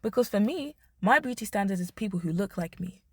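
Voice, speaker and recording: A young woman vlogger, hoarse, giving blunt, emphatic commentary to the camera. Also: medium pitch, animated, fairly quick, dry recording, studio quality. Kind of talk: opinionated talking-head commentary.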